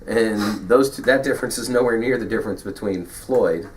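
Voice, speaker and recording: A man co-hosting a podcast in casual chat, laid-back and quick to agree, sometimes acting out what a fighter might say. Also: fairly low pitch. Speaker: podcast co-host. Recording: voice a bit far from the mic, faint hiss.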